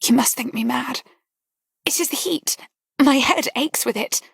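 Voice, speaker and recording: Brief: a woman playing a high, tremulous young lady on the edge of tears, breathy and pleading, storybook reading. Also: expressive, a little slow, no room sound, clean studio sound, heavily acted. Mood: tearful. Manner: breathless, pleading, breaking into whispers. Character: anguished young woman, tearful and trembling.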